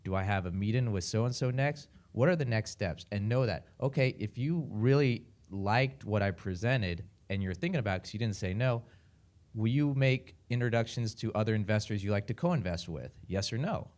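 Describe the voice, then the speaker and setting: Male panelist, lower voice, direct coaching delivery. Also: animated, moderate pace, darkish tone. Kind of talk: panel advice.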